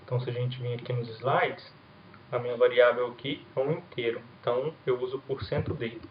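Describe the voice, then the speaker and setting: A man's voice: medium pitch, flat delivery, fairly quick. A man teaching an introductory programming class, explaining step by step in a casual way and often checking in with "right?". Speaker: programming instructor. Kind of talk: recorded coding lesson with screen demo. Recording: roomy, dull lo-fi sound, faint hiss.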